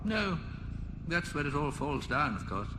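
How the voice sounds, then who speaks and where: Weary old alien, swooping and echoey. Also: male voice, fairly high pitch, big pitch swings, unhurried. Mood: weary, wistful. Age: older. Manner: rambling, resigned, mildly peevish. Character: weary, fussy old alien planet designer, male. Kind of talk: radio comedy drama. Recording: echoey hall, clear background noise.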